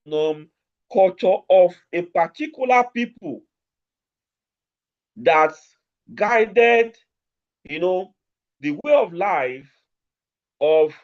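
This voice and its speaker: A man lecturing a law class online, stretching out key words with deliberate emphasis. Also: high-pitched. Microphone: remote call over network. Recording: dull lo-fi online audio.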